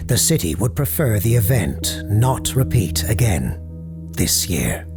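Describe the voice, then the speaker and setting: A man's voice: on the low side, very animated, measured pace, over music. A man playing a courteous, grave parapsychologist who hosts a late-night paranormal radio show, speaking formally and with ominous understatement in an audio drama.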